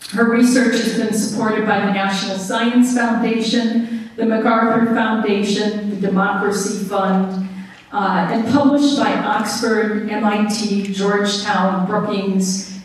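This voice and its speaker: A woman's voice: medium pitch, far from the mic. A woman colleague giving a formal, admiring introduction of a guest speaker in a lecture hall. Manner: warm, admiring, read-out. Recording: echoey hall, heard from afar.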